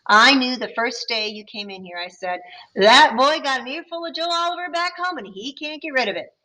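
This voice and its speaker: A woman's voice: high-pitched, animated, fairly quick, pushed and bright, voice pushed up, rough recording. Woman doing a patient male band teacher. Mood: earnest. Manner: patient, instructive.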